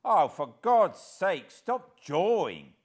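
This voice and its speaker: A rough, down-and-out tramp who whines and grumbles loudly, his voice jumping up high as he pleads, as a male narrator acts him out. The delivery is surly and ragged, with wide swings in pitch. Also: wildly swooping pitch, slow, full character voice. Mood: surly pleading. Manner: surly, loud, beggar's whine. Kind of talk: audiobook character voice.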